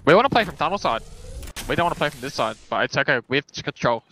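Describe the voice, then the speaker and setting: A bright, very quick-talking man leads his gaming squad with commanding, repeated orders and swearing. Faint gunfire and game noise sit behind his voice. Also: fairly high pitch, expressive. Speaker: esports player calling team strategy. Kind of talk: rapid in-game team callouts. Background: shooter game gunfire and effects.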